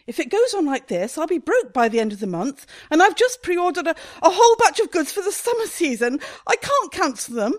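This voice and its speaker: Radio comedy casting: actress for highly strung woman shop owner, agitated and indignant, high, animated delivery. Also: fairly quick, hardly any pauses. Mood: anxious, flustered. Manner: agitated, melodramatic. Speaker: actor in a radio play.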